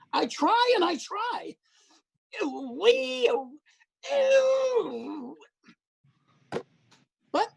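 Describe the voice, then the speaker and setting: A woman voicing a crude, lecherous older man who is a hospital patient, talking with a leering, boastful drawl and breathy chuckles. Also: high voice, darkish tone, exaggerated voice. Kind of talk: livestream play reading.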